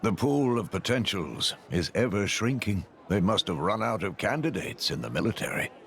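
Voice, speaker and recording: Low-voiced, formal, courteous retainer. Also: male voice, big pitch swings, a bit bright, a little hiss or hum. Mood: grave. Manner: formal, measured, ornate wording. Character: courteous, formal, grave manservant-like companion of a lady. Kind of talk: video game cutscene acting. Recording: music clearly behind the voice.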